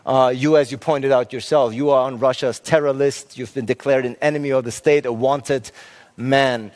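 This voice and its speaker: A man moderating a stage interview, asking measured questions with frequent fillers. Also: mid-range voice, steady tone, darkish tone. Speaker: forum moderator.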